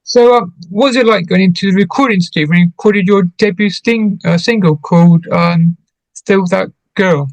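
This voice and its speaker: A man working as a radio interviewer, putting casual questions in a halting way and repeating words as he feels for them. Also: high-pitched, dry recording, low-quality recording.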